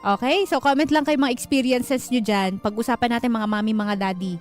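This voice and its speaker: A woman with a Filipino accent hosting a casual call-in radio show, chatty and animated, talking straight to her listeners. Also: fairly high pitch, brisk. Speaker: radio host. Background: music.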